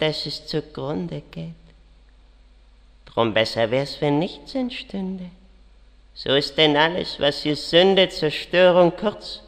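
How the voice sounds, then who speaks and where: Undead villain king: gravelly, theatrical, very slow and grandiose, long hall reverb. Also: on the high side, fairly bright tone, full character voice. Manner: grandiose, declamatory. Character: haughty, theatrical evil undead king, spirit of negation. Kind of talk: declaimed verse in a parody audio drama.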